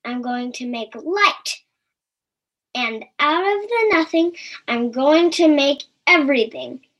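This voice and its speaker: A woman's voice: high-pitched, animated, slow, fairly bright tone, exaggerated voice. A woman voicing God as a joyful creator calling out cheerful greetings in a raised voice.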